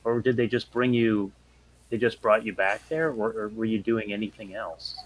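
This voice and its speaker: A man's voice: lowish voice, moderate pace, dry recording, poor audio. A man talking casually as a player in a tabletop role-playing game.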